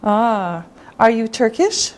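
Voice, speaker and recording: A woman teaching English to beginners, enunciating carefully and deliberately in a scripted lesson. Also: fairly high pitch, very animated.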